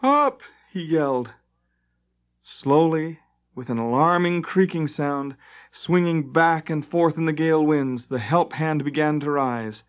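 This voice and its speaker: A man's voice: a little high, measured pace, clean tone. A man reading scripted fantasy narration dramatically, as an audiobook narrator. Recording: dull lo-fi tape transfer.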